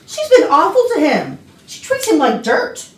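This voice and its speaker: A woman's voice: sits high, wildly swooping pitch. A woman playing a sly, meddling colleague with emphatic theatrical delivery in a staged comedy reading. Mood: egging on. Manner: emphatic, theatrical drawn-out words. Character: meddling, sly female office colleague egging others on. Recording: echoey rehearsal room from far off.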